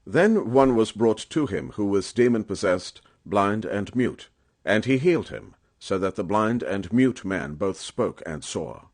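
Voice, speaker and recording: A man narrating scripture as a dramatic audiobook reading, with a solemn, emphatic and measured oratorical delivery. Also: fairly low pitch, animated, darkish tone.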